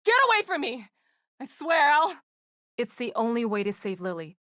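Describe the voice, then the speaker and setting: A woman's voice: on the high side, very animated, moderate pace, fairly bright tone, no room sound, clean studio sound. An actress playing an earnest female team psychologist in a video game, speaking in an articulate, analytical way. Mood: shouting, panicked.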